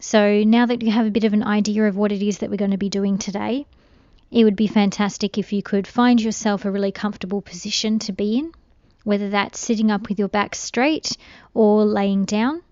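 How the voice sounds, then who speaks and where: A woman guiding a meditation in a gentle, soothing, unhurried way. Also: medium pitch, steady tone, dry recording, dull audio. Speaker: meditation guide. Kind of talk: guided meditation.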